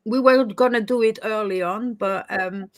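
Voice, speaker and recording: A woman with a Swiss French accent, a native French speaker, chatting as a guest in a casual interview, talkative and quick to laugh at herself. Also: medium pitch, no echo, studio quality. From Switzerland, French-speaking. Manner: chatty, self-deprecating, laughs easily. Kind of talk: casual online interview chat.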